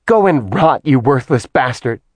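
A man's voice: fairly high pitch, lively intonation, normal speed, darkish tone, no echo, pitched above the performer's own voice. A man voicing a haughty, vain goddess who is cold and proud, with a commanding manner. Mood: scornful.

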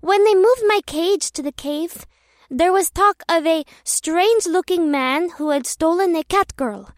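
Man doing a shy, soft-spoken nymph girl. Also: very high-pitched, measured pace, no echo, clean studio sound, voice pushed up. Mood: earnest. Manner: quiet, hesitant, tearful. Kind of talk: audiobook character voice.

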